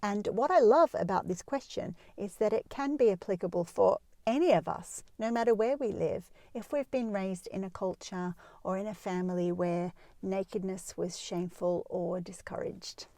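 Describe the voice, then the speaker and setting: Female advice host, relaxed mellow tone in a dry space, talking listeners through things reassuringly. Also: mid-range voice, moderate pace, few pauses, no room sound. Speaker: host of a parenting advice show. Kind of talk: explanatory advice talk addressed to listeners.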